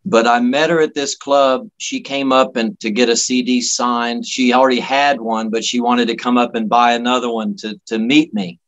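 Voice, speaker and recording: A man from Miami, Florida, a guest musician telling casual anecdotes in a halting, folksy, jokey way. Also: mid-range voice, steady tone, fairly bright tone, dull audio. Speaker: guest musician, singer-songwriter.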